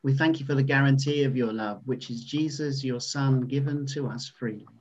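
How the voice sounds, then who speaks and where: A man leading a reverent spoken prayer in a measured way, then handing over to the worship leader. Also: mid-range voice, fairly even intonation, a little mellow. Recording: dull lo-fi recording.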